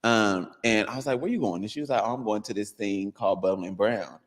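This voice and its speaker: A man in his thirties, a dance coach, talks as a guest in an animated, storytelling way. His voice runs low, and a long hall-like reverb trails after it. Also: brisk. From United States. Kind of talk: casual interview.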